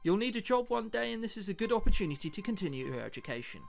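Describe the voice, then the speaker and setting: A man's voice: high-pitched, very animated, racing, vocal fry, faint music behind, full character voice. A man reading the lines of earnest, reassuring adult men in a visual novel. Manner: reassuring, explanatory. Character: earnest, placating adults: a father and a doctor.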